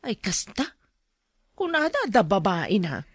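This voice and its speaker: A woman playing a nosy, excitable, teasing gossip in an Ilocano radio drama. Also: medium pitch, slow pace, slightly rough, dry recording, studio quality, heavily acted.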